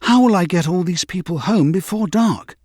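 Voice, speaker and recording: A man's voice: high-pitched, animated, moderate pace, darkish tone, dry recording, clean studio sound, voice pushed up. A man voicing an eager, cheeky, impatient young male tank engine in chirpy exclamations for a storybook. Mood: dismayed.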